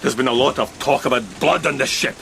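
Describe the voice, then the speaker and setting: A man playing a cool, sceptical, philosophising ship's officer, measured and sardonic, in a gothic horror audio drama. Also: medium pitch, vocal fry. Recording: rain and ship ambience noise.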